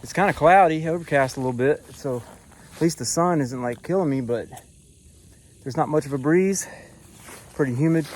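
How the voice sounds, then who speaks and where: Man, hiking vlogger, breathless, slightly hoarse, talks casually to a handheld camera, faint outdoor noise behind. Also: a little high, normal speed, long pauses. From Florida. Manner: breathing hard, winded while hiking uphill. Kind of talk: casual vlog narration to camera. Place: outdoors on a forest hiking trail.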